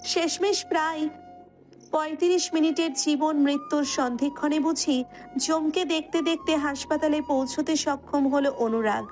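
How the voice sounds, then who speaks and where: A woman's voice: sits high, moderate pace, darkish tone. A woman narrator reading a romance story as dramatic scripted narration.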